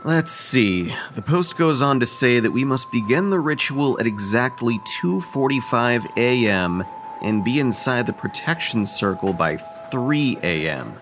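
Practical young student planner, medium pitch, music underneath, audio drama. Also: male voice, animated, a little slow. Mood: businesslike. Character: organized, matter-of-fact male college student planner.

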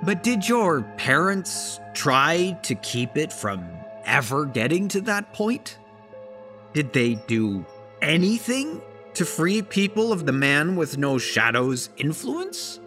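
Bitter, forceful grieving father. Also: a little high, big pitch swings, soft background music, exaggerated voice. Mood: bitter, accusing. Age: middle-aged. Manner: accusatory, rhetorical, drawn-out emphasis. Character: bitter grieving father rousing a town against his enemy. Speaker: actor in a horror audio drama. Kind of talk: dramatic public speech in audio drama.

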